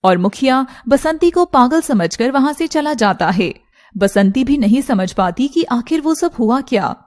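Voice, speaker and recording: A woman narrates a cartoon tale in a high voice. The delivery is scripted storytelling in the third person. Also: lively intonation, measured pace, darkish tone.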